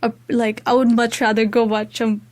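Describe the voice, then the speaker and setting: A young woman with a high voice and a Nepali accent, a native Maithili speaker. She talks in a casual, reflective way, feeling her way through her answers as a student guest in an interview. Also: level tone, moderate pace, darkish tone. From Tarai region of Nepal. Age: young adult.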